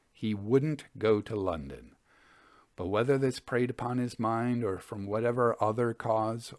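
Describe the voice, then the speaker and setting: A man hosting and reading old ghost stories as scripted narration, in a measured storytelling manner. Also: medium pitch, no room sound, studio quality.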